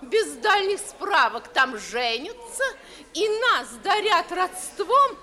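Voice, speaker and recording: An actress playing a haughty, sharp-tongued spinster countess, drawling with affected disdain in a reverberant 1950s radio comedy. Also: female voice, very high voice, big pitch swings, slow, full character voice. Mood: arch, biting. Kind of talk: 1950s Russian stage comedy acting for radio. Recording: old radio play, long hall reverb.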